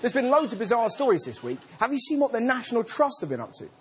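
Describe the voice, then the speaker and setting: A man's voice: very high-pitched, big pitch swings, fast. A man hosting a comedy show, delivering a jokey stand-up monologue. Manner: jokey, puts on silly voices. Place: TV studio with live audience. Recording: loud crowd and noise. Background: audience laughing.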